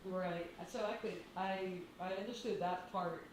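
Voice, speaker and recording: Direct lower-voiced woman, very echoey room. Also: fairly even intonation, measured pace. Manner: direct, probing. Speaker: panelist questioning and advising a presenter. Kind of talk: spoken feedback and questions. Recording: very echoey room, clear background noise.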